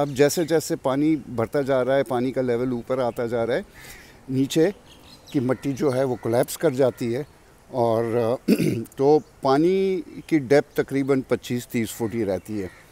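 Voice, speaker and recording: An older man with a Pakistani accent, speaking in an unhurried, reflective way as he gives an informal explanatory tour of his farm outdoors. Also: mid-range voice, dark tone. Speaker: farmer and vlog host. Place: outdoors beside a farm well. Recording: clear outdoor ambient noise, birdsong. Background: birds and outdoor farm ambience.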